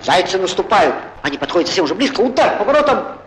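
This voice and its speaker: A man playing an excitable, good-humoured football commentator in a 1940s cartoon, chatty and theatrical, on a noisy old film soundtrack. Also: sits high, fast. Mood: lively, engaged. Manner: chatty, theatrical, exclaiming, correcting himself. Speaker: sports commentator.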